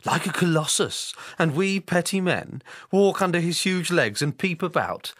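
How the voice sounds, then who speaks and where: A man playing a bitter, scheming Roman nobleman in classical Shakespearean style, declamatory, deliberate and persuasive. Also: sits high, lively intonation, a bit bright, no room sound, studio quality, pitched above the performer's own voice, exaggerated voice. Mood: scornful, persuasive. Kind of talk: classical Shakespearean stage acting.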